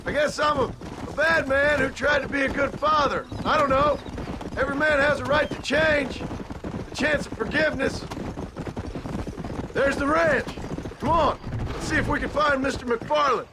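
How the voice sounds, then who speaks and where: An actor playing a gruff but caring reformed outlaw father in a Western game, plainspoken and dryly wry, with an American Western accent. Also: very high voice, steady tone, moderate pace, long pauses, background noise. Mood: earnest, regretful. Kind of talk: video game cutscene acting. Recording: outdoor animal and horse sounds throughout.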